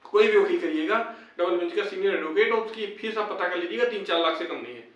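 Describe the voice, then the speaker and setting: Casting: young man, high voice, insistent explainer, recorded at a distance from the mic. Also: lively intonation, fast, muffled recording. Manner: repetitive, explanatory, urging. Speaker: video host addressing fellow job candidates. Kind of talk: informal update and appeal to viewers. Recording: very echoey room, distant microphone.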